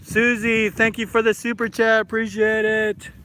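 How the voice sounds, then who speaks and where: Chatty excitable man outdoors. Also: high-pitched, normal speed. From Alaska. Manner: excitable, chatty, lots of fillers. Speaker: vlog host. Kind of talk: casual live-stream chat. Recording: outdoor noise behind a handheld camera.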